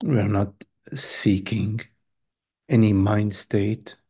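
A man leading a guided meditation as a teacher, speaking gently and hesitantly in short phrases with long pauses between them. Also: fairly low pitch, steady tone, husky. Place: live online group session.

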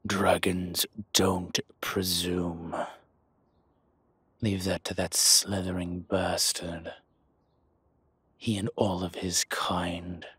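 A suave dragon mob boss acted by a man schemes in a deep, breathy voice. He speaks slowly and evenly, with deliberate pauses, in a close, dry audio roleplay. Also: little pitch movement, a bit bright, no echo, full character voice. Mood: contemptuous. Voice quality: husky, breathy. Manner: measured, smooth-talking, deliberate pauses. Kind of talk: slow, intimate scripted roleplay monologue.

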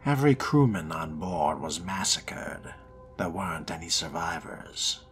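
A man voicing a dutiful but privately scornful vampire fledgling in a game role-play, with a hushed, deliberate drawl. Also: deep voice, lively intonation. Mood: measured, deferential. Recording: music behind the voice.

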